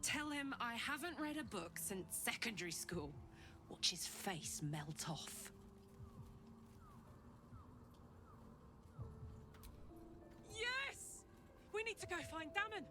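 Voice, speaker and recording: A woman playing a blunt, excitable, informal adventurer in a video game. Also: high voice, a little slow. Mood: excited. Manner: informal, exclamatory, swearing. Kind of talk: video game character acting. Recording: loud music behind the voice.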